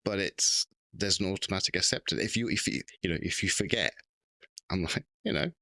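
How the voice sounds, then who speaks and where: A man hosting a casual podcast conversation, speaking in a halting way with pauses and repeated words. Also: low voice, vocal fry, bright, forceful tone, no room sound. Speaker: podcast host.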